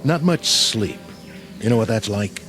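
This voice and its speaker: An actor playing a battle-hardened, skeptical army sergeant in a radio drama, plain-spoken, folksy and wry. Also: male voice, fairly low pitch, lively intonation, a little slow, over music. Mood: guarded.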